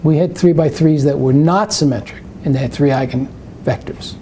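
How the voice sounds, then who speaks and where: A man's voice: on the high side, normal speed, mellow, a little hiss or hum. An older man with a New York accent answering questions in a live math webinar, rambling into anecdotes and restarting his sentences. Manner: rambling, anecdotal, self-interrupting. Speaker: math presenter.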